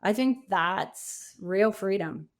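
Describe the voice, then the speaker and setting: A woman's voice: mid-range voice, takes it slowly. A middle-aged woman answering interview questions conversationally, in a reflective, earnest way with emphasis on key words. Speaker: podcast guest, coach and author.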